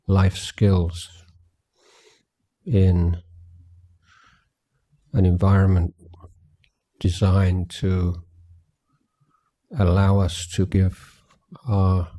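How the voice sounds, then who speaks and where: A man guiding a meditation as a teacher, speaking gently and in a measured way with long pauses. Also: deep voice. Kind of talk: guided meditation talk at a retreat.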